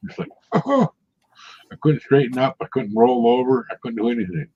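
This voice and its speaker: A man's voice: medium pitch, animated, moderate pace, dark tone, breathy edge. A man in his sixties, chatting casually and telling rambling stories with frequent chuckles. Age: 60s. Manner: rambling storyteller, chuckles often. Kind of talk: casual chat. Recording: muffled lo-fi audio.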